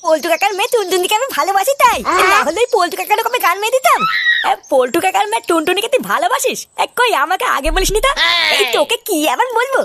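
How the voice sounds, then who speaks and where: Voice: very high voice, animated, fast, pushed and bright, a little hiss or hum, heavily acted. A performer voicing a cheeky, foul-mouthed young boy in a comedy cartoon, brash and whiny. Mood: aggrieved. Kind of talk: exaggerated Bengali cartoon comedy dialogue.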